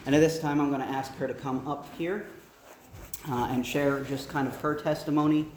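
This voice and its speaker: A man's voice: on the high side, steady tone, normal speed, darkish tone. Missionary man, plain earnest delivery, echoey hall with faint hiss. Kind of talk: church talk.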